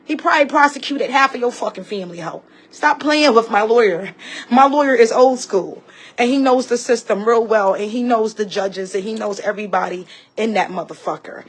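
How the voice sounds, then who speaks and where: Heated woman ranting, fairly high voice. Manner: emphatic and repetitive, acting out others' remarks. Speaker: vlogger telling a personal story. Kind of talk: heated, profane personal rant.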